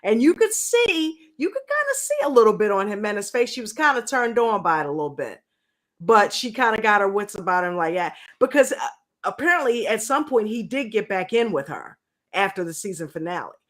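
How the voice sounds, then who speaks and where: Slangy, animated woman on a livestream. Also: mid-range voice, fairly quick. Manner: animated, slangy, acts out mocking quotes. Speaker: livestream reality-show reviewer. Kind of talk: casual reaction livestream chat.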